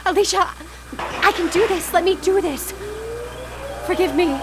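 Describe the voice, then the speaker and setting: A woman's voice: very high voice, a little slow. A woman acting in a live radio drama as a desperate woman, pleading and stammering over repeated words. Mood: frantic, desperate. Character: desperate, pleading woman obsessed with reaching the dead. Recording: clear background noise in live broadcast.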